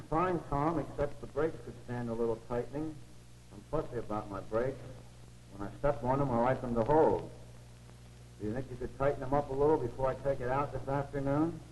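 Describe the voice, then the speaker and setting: Guy acting as polite dutiful school bus driver in an old 40s training film, soft muted tone, hummy noisy soundtrack. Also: medium pitch, fairly quick, close to an everyday voice. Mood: matter-of-fact, particular. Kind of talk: 1940s educational film acting. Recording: muffled, noisy 1940s film soundtrack with hum.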